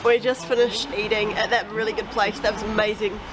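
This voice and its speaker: A woman's voice: mid-range voice, level tone, a little fast, over music, poor audio. A young woman, narrating a travel vlog in an upbeat, chatty way with casual fillers, recorded outdoors on a handheld camera. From New Zealand. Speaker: travel vlogger. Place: outdoors in a city. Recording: street noise outdoors.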